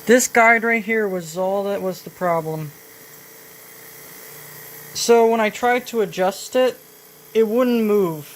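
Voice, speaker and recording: A woman talking casually through a hands-on repair demonstration, halting and thinking aloud as she goes. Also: medium pitch. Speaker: vintage electronics repair hobbyist. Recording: noisy home video, steady background noise. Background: clear background noise.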